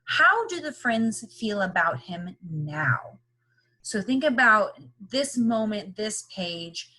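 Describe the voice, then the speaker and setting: Bright-voiced woman teaching, slightly husky. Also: mid-range voice, very animated, takes it slowly, low-quality recording. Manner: simple explanations with repeated questions and check-ins. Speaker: teacher reading a picture book aloud. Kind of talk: interactive read-aloud lesson for young children.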